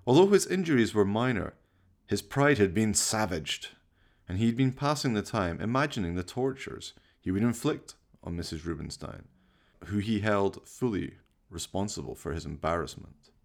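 A man playing a sinister, courtly fireside storyteller, narrating a horror radio play with slow, mock-polite, menacing theatricality. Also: fairly low pitch, animated, creaky. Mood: ominous, wry.

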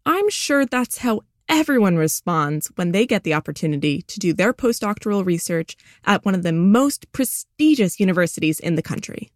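A woman host talks in a breezy, informal way, moving between scripted narration and casual interview questions. Her pitch sits in the middle of the usual range. The recording is dry and close, with no room around her. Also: big pitch swings, moderate pace, hardly any pauses, no echo.

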